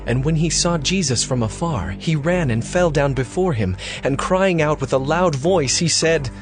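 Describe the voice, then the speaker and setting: A man's voice: a little high, animated, moderate pace, over music. A man narrating scripture as scripted storytelling in a dramatized audio reading.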